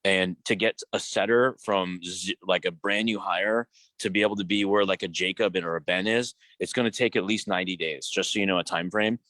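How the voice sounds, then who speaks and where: Blunt, foul-mouthed deep-voiced man. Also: steady tone, brisk, a bit bright, dry recording. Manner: blunt, profane, rambling with restarts. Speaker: business coach hosting a live Q&A. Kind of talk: casual live coaching talk.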